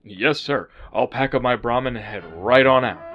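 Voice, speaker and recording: A man's voice: mid-range voice, moderate pace, a bit bright, soft background music, close to an everyday voice. A man playing a glum, nervous suburban family man in a radio sitcom, on dull lo-fi audio. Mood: nervous, deferential.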